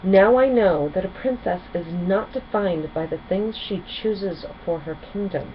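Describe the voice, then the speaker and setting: A woman's voice: mid-range voice, measured pace, dull audio, a little hiss or hum, lightly acted. A woman voicing a kind, sincere young female pony princess in a children's storybook. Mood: heartfelt, sincere.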